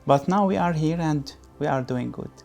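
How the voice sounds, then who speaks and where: A startup founder speaking slowly in an interview, pausing often with fillers. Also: mid-range voice, gravelly, a little mellow, faint music behind. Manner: slow, with many uh pauses.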